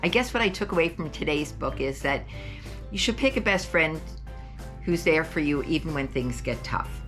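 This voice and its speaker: Woman reading a children's storybook, animated pitch. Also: normal speed, soft background music. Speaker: host reading picture books for children. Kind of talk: expressive children's storybook read-aloud.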